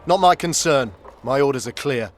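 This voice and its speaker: A man's voice: mid-range voice, expressive, normal speed, faint music behind, close to an everyday voice. A male actor playing an officious, unyielding royal guard officer in a video game, declaiming formal orders in a commanding way. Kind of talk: dramatic video game acting.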